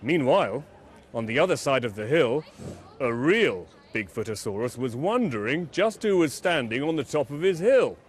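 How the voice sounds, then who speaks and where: A man telling a children's bedtime story in an expressive, dramatic way, leaning on key words. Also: medium pitch, moderate pace, breathy edge.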